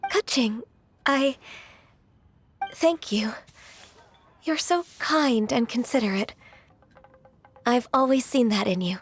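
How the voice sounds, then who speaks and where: Timid young game heroine, high voice, polite, hesitant, gentle, faint music behind. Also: female voice, slow pace, pauses often. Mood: grateful. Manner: hesitant, apologetic, courteous. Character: gentle, timid, polite young female secretary, easily flustered. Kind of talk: video game cutscene acting.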